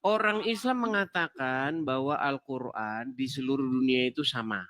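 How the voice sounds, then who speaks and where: A man's voice: medium pitch, moderate pace, a bit bright. A man hosting a live call-in debate, laughing and mocking as he talks over his callers. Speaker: host of a live interfaith debate stream.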